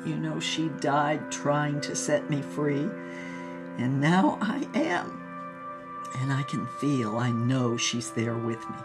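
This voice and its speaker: An older woman played by an actress recounts her life in an audio drama at a slow, deliberate pace. She is reflective and wry, leaning into key words and leaving pauses, with music playing underneath. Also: rumbling low. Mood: tender. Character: old woman, reflective mystical storyteller and former fugitive artist. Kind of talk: dramatic first-person storytelling, acted as conversation.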